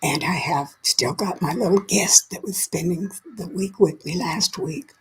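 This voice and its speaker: A woman's voice: on the low side, measured pace, slight background noise. A woman who has lost her voice, whispering her way through a chatty livestream and greeting viewers by name. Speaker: livestream host. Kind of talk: casual live chat with viewers.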